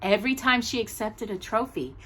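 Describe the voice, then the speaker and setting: Female storytime reader, husky tone, lively intonation, expressive emphasis for a picture book audience of children. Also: on the high side. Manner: animated, emphatic storytelling with pauses on key words. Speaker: storytime host reading a children's book aloud.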